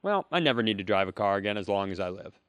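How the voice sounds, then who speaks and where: A man's voice: lowish voice, big pitch swings, fast talker, a bit bright, no room sound, studio quality. A man co-hosting a comedy podcast, riffing in a profane, self-deprecating, casual way. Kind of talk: bantering casual comedy chat.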